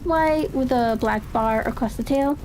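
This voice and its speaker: A woman's voice: high-pitched, unhurried, a little mellow, slight background noise. A woman voicing a courteous, confident male detective in a storybook reading, polite and cheery. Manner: bland, politely cheery, self-assured. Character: confident, courteous gentleman detective, gleefully all-knowing.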